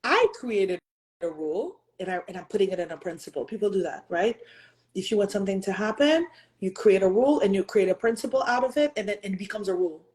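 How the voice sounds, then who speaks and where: A woman's voice: mid-range voice, lively intonation, quick pace, a little mellow. A woman in her thirties hosting a motivational talk, speaking earnestly and pressing listeners with rhetorical questions, sometimes slipping into French and Haitian Creole. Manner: earnest, emphatic, asks the audience rhetorical questions. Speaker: host.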